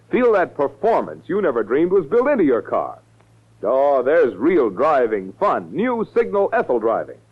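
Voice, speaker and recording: A male announcer selling hard in a vintage 1940s radio commercial. His voice is fairly high and swings widely in pitch, with the dull sound of an old broadcast. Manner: enthusiastic salesman delivery.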